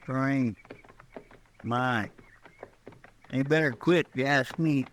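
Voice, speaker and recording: An actor playing a deferential frontier deputy sidekick, with a folksy rural American drawl, in a radio western. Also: male voice, mid-range voice, slow, fairly bright tone, slight background noise. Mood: chatty, easygoing. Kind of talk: old-time radio drama acting.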